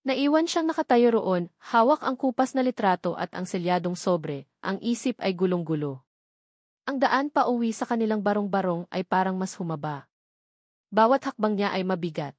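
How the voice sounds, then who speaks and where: A woman's voice: mid-range voice, expressive, moderate pace, a little mellow, dry recording, clean studio sound. A woman reading a dramatic scripted story as an audiobook narrator.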